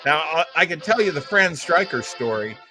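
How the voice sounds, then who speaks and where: A man's voice: fairly high pitch, sing-song, moderate pace, low-quality recording. A man with an American accent giving casual commentary as co-host of a retro radio show. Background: music.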